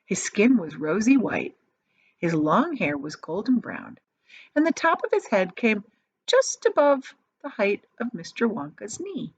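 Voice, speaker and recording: A woman reading a children's story aloud as an expressive storyteller. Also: medium pitch, a little slow, breathy edge, dry recording. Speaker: storybook reader. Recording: slightly dull, dry recording.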